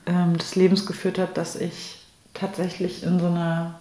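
A woman's voice: on the low side, monotone, normal speed, mellow. A woman in her late thirties, a pastor, speaking reflectively as a guest in a conversational interview. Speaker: guest, Protestant pastor and theologian. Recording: echoey room.

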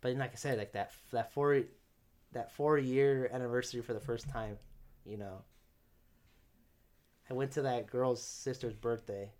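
A man's voice: medium pitch, fairly even intonation, measured pace, long pauses, darkish tone. A young man talking casually as a podcast guest, rambling with lots of fillers and restarts.

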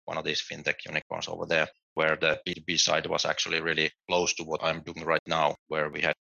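A man's voice: very deep voice, completely flat delivery, fairly quick, creaky, sharp tone, no room sound. A middle-aged man from Finland, explaining things steadily in a podcast interview with small restarts. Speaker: guest, tech executive. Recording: dull, lo-fi dry audio.

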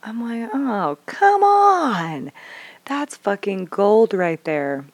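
A woman in her thirties candidly telling parenting stories, swearing freely and acting out dialogue, dropping to whispers and then bursting out loud. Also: medium pitch, very animated, very slow, no echo. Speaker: mother sharing parenting stories. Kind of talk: candid casual storytelling.

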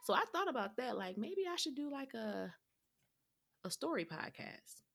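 Rambling woman with a bright voice. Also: a little high, big pitch swings, normal speed, creaky. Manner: rambling, full of "like" and restarts. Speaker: podcast co-host. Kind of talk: casual chat.

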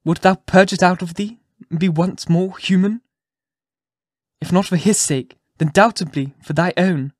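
A man's voice: mid-range voice, monotone, normal speed, hardly any pauses, a little mellow, breathy edge, no room sound, clean studio sound, close to an everyday voice. A man voicing an anguished but resolute woman who pleads with dignity in impassioned, archaic storybook speech. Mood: earnest pleading. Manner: archaic, impassioned, emphatic delivery.

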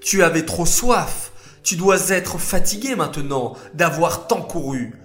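A man's voice: high-pitched, animated, slow pace, fairly bright tone, soft background music, voice pushed up, heavily acted. A man voicing an earnest, modest shepherd hero. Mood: tender. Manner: earnest, pleading. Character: humble, kind shepherd hero, modest and gentle.

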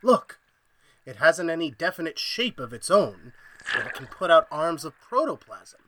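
An actor playing an obsessed, domineering mad scientist in a radio horror play, imperious, excitable and gloating. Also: male voice, a little high, moderate pace, a little hiss or hum. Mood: fascinated. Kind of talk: 1930s-style radio horror acting.

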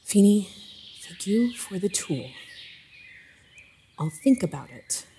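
A woman's voice: medium pitch, very animated, slow pace, warm tone, a little hiss or hum. Stubborn, stressed, emphatic woman. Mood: weary, apologetic. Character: stressed, stubborn, powerful woman telepath leading a cause. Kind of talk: fiction podcast drama acting.

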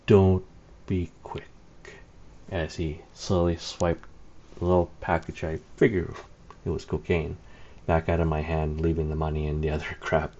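A man's voice: a bass voice, warm tone, slight background noise. A man casually telling rambling anecdotes about old coworkers, full of fillers and wry laughs. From Canada. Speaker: storyteller. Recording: dull lo-fi recording.

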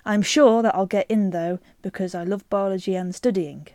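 Lively woman co-hosting a podcast. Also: medium pitch, moderate pace, no room sound, clean studio sound. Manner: lively, laughs often. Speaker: podcast co-host. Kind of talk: casual chat and reading a listener email.

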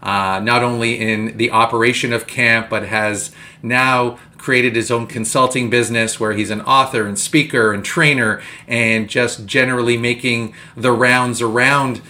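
A man's voice: medium pitch, steady tone, unhurried, sharp tone. A Canadian man co-hosting a podcast, chatting casually and reading sponsor messages with frequent fillers. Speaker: podcast co-host.

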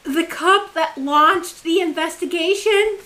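A woman playing a dutiful, grave aide to a ruler in an audio drama, measured, formal and deferential. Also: very high-pitched, bright, forceful tone, exaggerated voice. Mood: earnest.

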